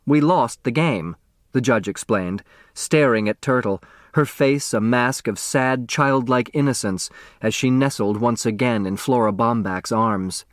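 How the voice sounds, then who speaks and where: Measured male audiobook narrator, dry and close. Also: mid-range voice, no echo. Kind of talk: scripted dramatic storybook narration.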